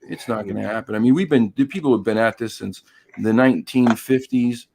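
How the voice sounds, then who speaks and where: Gravelly, hoarse man hosting a livestream. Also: medium pitch, a little fast, darkish tone. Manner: chatty, greets viewers by name. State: hoarse, losing his voice. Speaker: live stream host. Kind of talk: casual live-stream chat.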